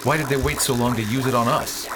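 A serious starship crewman acted by a man in radio theater. He questions things earnestly in a mid-pitched voice. Noise like an engine is clearly heard behind. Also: fairly even intonation, quick pace, close to an everyday voice. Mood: concerned. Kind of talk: space opera radio drama acting.

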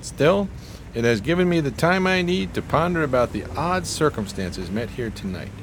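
A man's voice: a little high, lively intonation, moderate pace, slight background noise, close to an everyday voice. An actor playing an eloquent, melancholy homeless man of 1920s New York in florid radio-theater style. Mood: brooding, remorseful. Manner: florid, grandiloquent, theatrical phrasing.

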